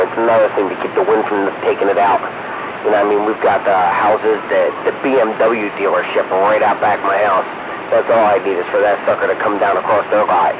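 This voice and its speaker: Low-voiced man on a noisy radio link. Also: muffled recording. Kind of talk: casual radio chat among hobbyists.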